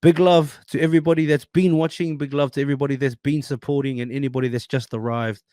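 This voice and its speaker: A man's voice: mid-range voice, steady tone, fast, mellow, no room sound, studio quality. A man with a New Zealand accent hosting a podcast, upbeat and friendly, calling his guest "brother". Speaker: podcast host. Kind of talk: casual interview chat.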